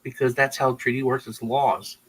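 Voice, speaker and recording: A man's voice: mid-range voice, little pitch movement, normal speed, fairly bright tone, slightly rough, soft background music, poor audio. Rambling man in casual talk. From Texas and Germany. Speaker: podcast host. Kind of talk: casual political chat.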